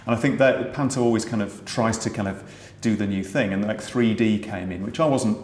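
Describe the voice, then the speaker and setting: A man's voice: lowish voice, a little fast, darkish tone, slight background noise. Roomy-sounding British man, thoughtful. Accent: British English. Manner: thoughtful, many small restarts. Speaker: pantomime writer and director. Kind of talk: casual conversational interview. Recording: roomy echo.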